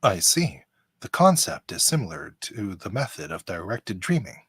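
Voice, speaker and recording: A polite, literal-minded android, acted by a man in a sci-fi radio play. The voice is slightly low and husky, with a swinging intonation. The wording is formal, precise and analytical, in a dry close recording. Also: sing-song, moderate pace, fairly bright tone, no echo, exaggerated voice. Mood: calm, analytical. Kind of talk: podcast live script reading.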